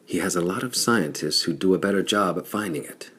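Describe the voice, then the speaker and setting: A man's voice: sits low, fairly quick, fairly bright tone, husky. A man voicing a tough, blunt male adventurer in a pulp science fiction audiobook. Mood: puzzled.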